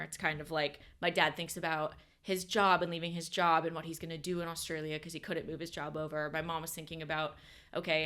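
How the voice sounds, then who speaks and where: Young american woman, podcast guest, lowish voice, loose rambling talk, lots of fillers. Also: sharp tone, clean tone. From United States. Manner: rambling, filler-heavy, self-correcting. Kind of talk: casual interview chat.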